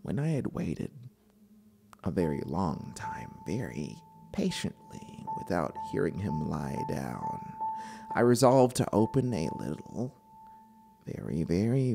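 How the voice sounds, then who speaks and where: A low, gravelly madman tells a gothic horror tale. He is obsessive and gloating, drawing words out slowly and lurching theatrically between whispers and outbursts. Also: sing-song, over music, heavily acted. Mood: ominous, stealthy. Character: deranged murderous male narrator, gloating and obsessive. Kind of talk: dramatic horror story reading.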